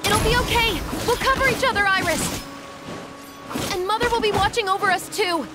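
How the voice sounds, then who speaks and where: A woman voicing a steadfast, resolute young musketeer in an anime game, with earnest, measured theatrical delivery. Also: extremely high pitch, over loud music. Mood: urgent reassurance.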